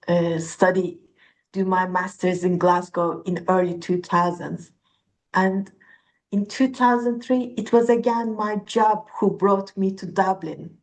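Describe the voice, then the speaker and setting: A woman in her fifties from Turkey speaks thoughtfully as a podcast guest, in English that is not her first language. She is earnest and reflective, pausing now and then while she searches for the right word. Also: mid-range voice, poor audio. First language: Turkish. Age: 50s. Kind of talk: conversational interview.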